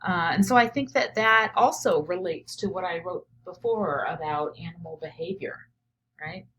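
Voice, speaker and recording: A woman teacher reading a picture book aloud and pausing to reflect on it out loud, often checking in with "right?". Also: fairly low pitch, slight background noise. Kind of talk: read-aloud of a picture book with think-aloud commentary.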